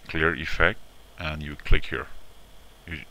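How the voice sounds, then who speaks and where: A man with a very low, fairly monotone voice walks through a software tutorial step by step. He repeats his instructions and checks in often. The sound is sharp, with faint background noise. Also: slow pace, vocal fry, low-quality recording. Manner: repetitive, instructional, often checking with "all right" and "okay". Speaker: tutorial presenter. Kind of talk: informal screen-recorded software tutorial.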